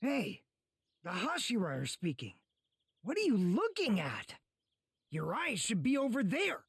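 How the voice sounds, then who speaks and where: A woman's voice: mid-range voice, wildly swooping pitch, measured pace, husky, dry recording, clean studio sound, exaggerated voice. A woman playing a stern young member of a swordsman corps in an anime game, brusque and scolding. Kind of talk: English anime game voice acting.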